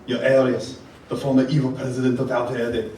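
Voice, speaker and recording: Radio play hero: tough, vengeful male colonel, acted by a man, terse and deadpan, in a noisy, echoey room. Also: medium pitch, a little fast, far from the mic, lightly acted. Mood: grim defiance. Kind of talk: live stage radio play.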